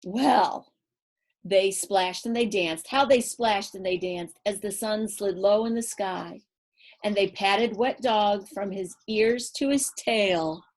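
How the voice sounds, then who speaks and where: A woman storyteller reading a picture book to children, playful and rhythmic, with chatty asides. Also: mid-range voice. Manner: playful, drawn-out, rhythmic delivery. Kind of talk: animated picture-book read-aloud with asides to young listeners.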